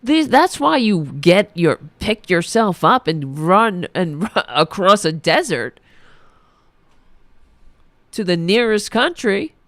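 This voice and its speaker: A woman's voice: mid-range voice, sing-song, slow, no room sound, clean studio sound. A woman, hosting a political podcast, ranting in a heated, profane, sarcastic way while losing her voice. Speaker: podcast host. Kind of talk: angry political rant.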